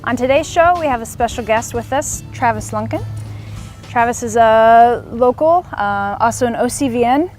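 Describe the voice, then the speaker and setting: A woman's voice: a little high, moderate pace, a little mellow, faint music behind. A woman naturalist hosts a nature show, interviewing a guest outdoors by a lake with friendly prompting questions.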